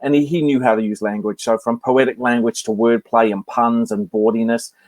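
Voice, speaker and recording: A man talks about his improv class with eager energy and keeps slipping in self-mocking jokes. His voice sits at a middling pitch. The sound is dry and close, coming through a video call. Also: steady tone, brisk, a little mellow, no echo, poor audio. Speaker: improv instructor.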